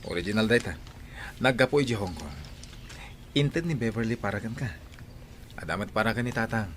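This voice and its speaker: An actor with an Ilocano accent playing a proud, evasive husband in a radio drama. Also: male voice, lowish voice, brisk, a bit bright, slight background noise, lightly acted. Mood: offering, casual. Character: proud, evasive husband who left his pregnant wife.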